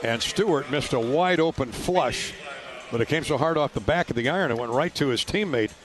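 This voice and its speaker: An American man does live basketball play-by-play for radio. His voice sits at a middle pitch, and music is clearly heard behind him. He follows the action as a game announcer. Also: lively intonation, fairly quick. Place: basketball arena.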